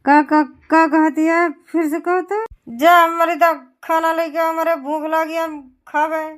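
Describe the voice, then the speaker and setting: High-pitched, drunken cartoon bully of a husband, done by a woman. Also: little pitch movement, a little slow. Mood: irritated, demanding. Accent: Awadhi. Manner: blustering, demanding. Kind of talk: exaggerated cartoon comedy dub.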